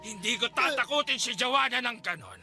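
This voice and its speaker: A radio drama villain: an obsessed, lecherous older landlord who leers and menaces. The voice is gravelly and sharply forceful, with big swings in pitch. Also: male voice, lively intonation, a little fast, soft background music, exaggerated voice. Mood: bitter rage. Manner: leering, menacing.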